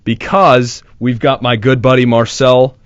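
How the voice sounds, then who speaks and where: A young man hosts a casual radio show, rambling and nervous with plenty of restarts and self-deprecating jokes. His voice sits at an ordinary pitch, picked up dry and slightly dull on a studio mic in a small room. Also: fairly even intonation, unhurried, clear, resonant tone, dry recording. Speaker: radio host. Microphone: studio microphone.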